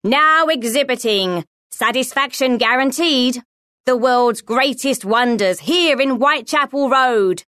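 A woman voicing a stout, brusque fairground showman with booming, theatrical patter in a storybook reading. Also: a little slow, fairly bright tone, clean tone, no echo, clean studio sound. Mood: booming, enticing.

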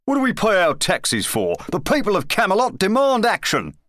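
Man doing a loud, pompous town crier. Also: high-pitched, sing-song, measured pace, no echo, studio quality, voice pushed up, full character voice. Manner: pompous, declaiming. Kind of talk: children's storybook character reading.

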